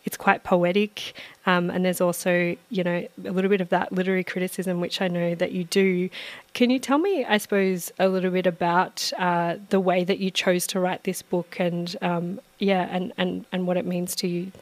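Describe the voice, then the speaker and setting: A woman radio presenter, asking long, halting questions full of fillers. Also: on the low side, steady tone, no room sound. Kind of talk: radio interview.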